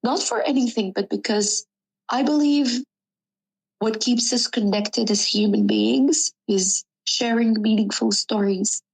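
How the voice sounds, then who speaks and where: A young woman from Sudan, a native Arabic speaker, earnestly reflecting on her life in an interview, pausing with ums and correcting herself. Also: on the high side, fairly even intonation, creaky, husky, low-quality recording. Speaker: student interviewee. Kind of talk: personal interview.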